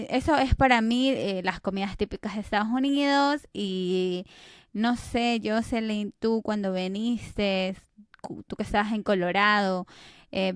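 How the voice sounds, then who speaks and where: A woman's voice: mid-range voice, clean tone, no echo, studio quality. A woman from Ecuador co-hosting a podcast, chatting casually with frequent fillers. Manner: conversational, many fillers like "este" and "eh". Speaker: podcast co-host.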